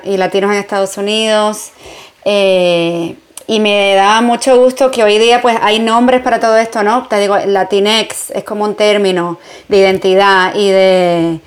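Reflective Puerto Rican woman journalist. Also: medium pitch. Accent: Puerto Rican Spanish. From Puerto Rico. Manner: reflective, mixes in English phrases, frequent fillers. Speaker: journalist and entrepreneur, guest. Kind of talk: conversational interview answers.

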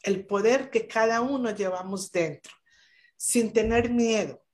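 A woman's voice: fairly high pitch, unhurried, a little mellow. A woman speaking as a guest on a podcast panel over a video call, in an earnest, emphatic way with many hesitations. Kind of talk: motivational talk on a podcast panel.

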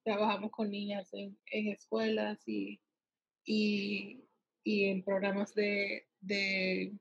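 A woman from the United States answers interview questions in a husky voice with little pitch movement. She speaks haltingly, often stretching pauses to find the next word. The sound is dry and close. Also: monotone, dry recording, muffled recording. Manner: halting, searching for words with drawn-out pauses. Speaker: interviewee, salon worker and empowerment advocate for girls.

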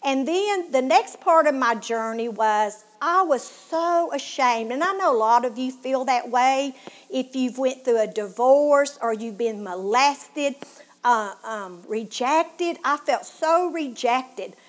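A woman with a Tennessee accent hosting her own show, giving an earnest personal testimony and dropping to a hush at painful moments. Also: high-pitched, expressive, slow. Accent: Southern US, Tennessee. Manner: earnest, heartfelt, drops to a hushed tone at painful moments. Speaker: show host.

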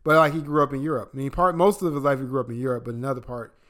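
A young adult man from the American Midwest co-hosting a casual political podcast, profane and emphatic, swinging between loud outbursts and low asides. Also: steady tone, very fast. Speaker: podcast co-host.

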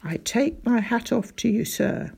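A woman's voice: on the high side, measured pace, gravelly, husky, full character voice. Woman doing a dry, weary father. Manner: calm, dryly understated. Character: tired injured grown man, a dry-humoured father.

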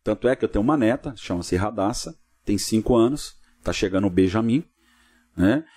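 A man's voice: lowish voice, expressive, a little fast, mellow. A middle-aged man with a São Paulo state Brazilian accent, telling stories informally as an interview guest, often adding little tag questions. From Cubatão, São Paulo coast, Brazil. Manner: peppers talk with tag questions. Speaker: pastor, podcast guest.

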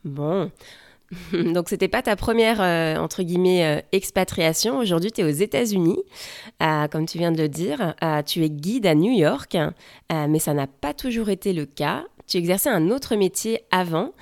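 A woman's voice: fairly low pitch, lively intonation, normal speed, darkish tone, dry recording, studio quality. A woman from France with a French accent, hosting a podcast with an introduction and friendly interview style.

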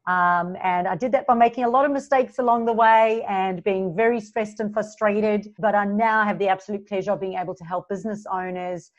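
A bubbly woman from South Africa, her accent blended with Australian, chats as an interview guest and laughs between phrases. Her voice sits at a middle pitch. The recording is a little dull and lo-fi. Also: steady tone, a little fast, few pauses. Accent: South African, mixed with Australian. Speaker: guest, business coach. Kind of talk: casual interview chat. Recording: slightly dull lo-fi sound.

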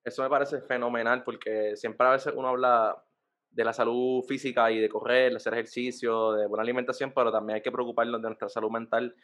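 A man's voice: medium pitch, flat delivery, fairly quick, a bit bright. A man from San Juan with a Puerto Rican accent, hosting an interview in a friendly, enthusiastic way. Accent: Puerto Rican Spanish. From San Juan, Puerto Rico. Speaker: interview host.

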